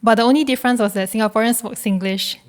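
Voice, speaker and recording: A woman in her twenties from Korea, a native Korean speaker, answering interview questions plainly with soft laughs. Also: on the high side, fast. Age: 20s. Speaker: guest, university student.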